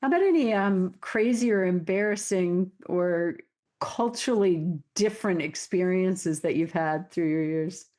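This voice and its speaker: A woman's voice: lowish voice, measured pace, a little mellow. A woman hosting an interview podcast, chatty and enthusiastic, with lots of little interjections. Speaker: podcast host. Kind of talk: casual interview conversation.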